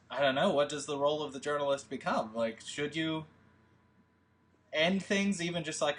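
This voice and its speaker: A man's voice: fairly high pitch, fairly bright tone, a little hiss or hum. A man leading a film table read, talking through plans in a rambling, hesitant way with many fillers. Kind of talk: casual discussion.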